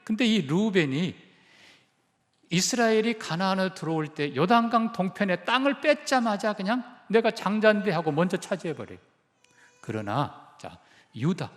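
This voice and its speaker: A man's voice: high voice, long reverb tail. A male pastor preaching a sermon, emphatic and putting questions to the congregation. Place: church sanctuary.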